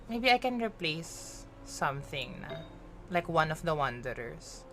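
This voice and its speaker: A woman's voice: sits low, very animated, a little slow, sharp tone. A woman with a Filipino accent streaming a game, chatting playfully in a mix of English and Filipino and teasing herself. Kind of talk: casual livestream chat while gaming.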